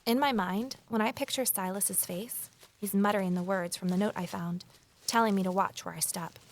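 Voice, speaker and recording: A woman's voice: medium pitch, fairly even intonation, moderate pace, natural voice. An actress playing a curious, watchful amateur investigator, telling her mystery in the first person and talking with others. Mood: uneasy, distracted. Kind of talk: audio drama narration and dialogue.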